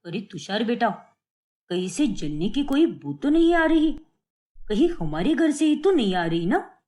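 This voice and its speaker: A woman's voice: fairly high pitch, big pitch swings, unhurried, a little mellow. A woman voicing a middle-aged father in a cartoon story, sarcastic and firm, with a theatrical, taunting manner. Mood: mocking.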